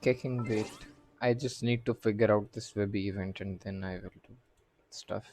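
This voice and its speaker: A man with a fairly low voice talks casually as he streams a game. He thinks aloud in a hesitant, puzzled manner, with faint music in the background. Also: fairly even intonation, moderate pace, darkish tone. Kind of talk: casual livestream chat.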